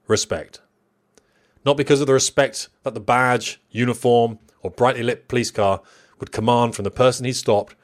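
A middle-aged man reading a personal memoir as scripted narration, in a measured, reflective storytelling manner. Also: medium pitch.

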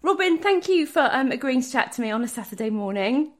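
A woman's voice: high-pitched, animated, fairly quick. A woman hosting an interview, asking curious, chatty questions. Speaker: interviewer, host. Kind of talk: conversational interview.

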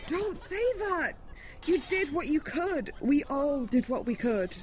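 A woman's voice: high-pitched, lively intonation, slow, darkish tone. A woman playing a determined, pragmatic fantasy hero in an audio drama, earnest and insistent. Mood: urgent, insistent. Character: determined, pragmatic female fantasy hero urging on a despairing comrade.

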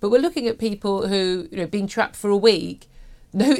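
A woman's voice: medium pitch, moderate pace, darkish tone. A British woman in her fifties talking through the news as a talk show host, chatty, emphatic and opinionated. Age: 50s.

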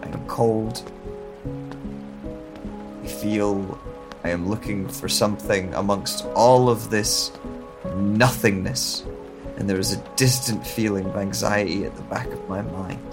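A man with a low voice narrates in a slow, hushed, introspective first person. A music score plays clearly beneath him. Rain falls behind the voice. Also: steady tone.

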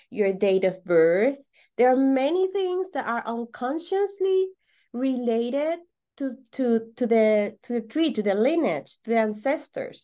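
A woman from Venezuela with a Venezuelan Spanish accent explains things as an interview guest, repeating words for emphasis in halting English. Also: fairly high pitch, darkish tone. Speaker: podcast guest, holistic coach.

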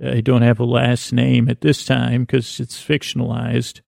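A man hosting a podcast, talking in a slow, meandering, lulling way as he drifts from thought to thought. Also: medium pitch, completely flat delivery, vocal fry, darkish tone, no room sound, clean studio sound. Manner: slow meandering soothing delivery. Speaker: podcast host. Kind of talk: rambling sleepy podcast intro monologue.

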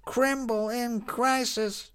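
A woman playing a young street newspaper seller in an audio story, calling out headlines in a drawn-out cry. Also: a little high, steady tone, clear, resonant tone.

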